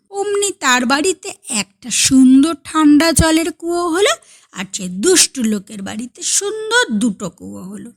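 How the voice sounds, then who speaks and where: A woman reading a story aloud as an expressive storyteller. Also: high-pitched.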